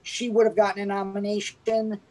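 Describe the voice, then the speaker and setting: A woman's voice: medium pitch, little pitch movement, moderate pace, darkish tone. A woman co-hosting a casual movie review, talking hesitantly with many restarts. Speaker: podcast co-host.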